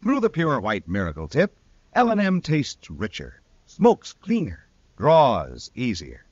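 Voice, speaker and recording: A man's voice: mid-range voice, huge pitch swings, measured pace, dry recording. A man reading a vintage radio commercial in an emphatic, salesmanlike way. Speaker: commercial announcer. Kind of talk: vintage radio advertisement read. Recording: dull vintage radio recording.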